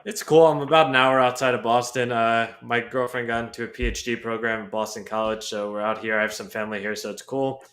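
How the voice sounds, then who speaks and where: A man's voice: medium pitch, steady tone, fast talker, few pauses, fairly bright tone. A man from New York with a Brooklyn accent, talking as a guest in a casual chat, laid-back and plainspoken. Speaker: grappling guest analyst.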